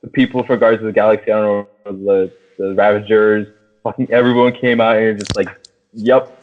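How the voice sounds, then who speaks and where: A young man co-hosting a podcast, chatting casually and excitably about a film in slangy, sweary speech. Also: on the low side, brisk, a little hiss or hum. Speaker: podcast co-host. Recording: thin, phone-like band-limited audio.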